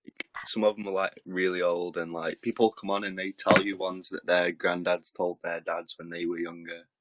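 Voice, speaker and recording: A man calling into a livestream as a guest, chatting informally and a little haltingly. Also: low voice, little pitch movement, a bit bright, rough recording. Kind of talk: casual chat.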